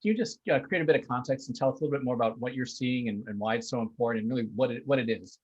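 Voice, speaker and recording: Quick-talking male podcast co-host. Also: mid-range voice, a little mellow, slightly rough, highs cut off. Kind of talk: conversational interviewing.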